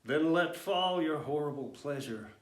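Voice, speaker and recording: A man's voice: fairly high pitch, lively intonation, a little slow. A man playing a despised, raging old man in a Shakespearean stage monologue, declaiming with great force. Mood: defiant rage. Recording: echoey room with faint noise.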